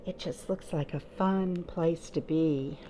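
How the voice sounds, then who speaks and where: A woman's voice: deep voice, takes it slowly, warm tone, slight background noise, poor audio. A woman leading a library storytime, chatting encouragingly to young children and asking them simple questions as she reads aloud.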